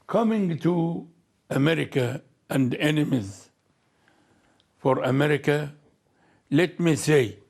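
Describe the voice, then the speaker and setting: A man speaking as a guest in a debate, making his points in a measured, deliberate way. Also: on the high side, expressive, gravelly, a little mellow. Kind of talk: debate discussion.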